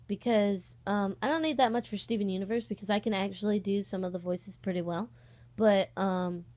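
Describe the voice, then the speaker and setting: A young woman talks casually to her online followers, rambling a little and often pausing to correct herself. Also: a little high, darkish tone. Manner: rambling, hesitant, self-correcting. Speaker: online voice-acting channel host. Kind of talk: casual vlog, audition call.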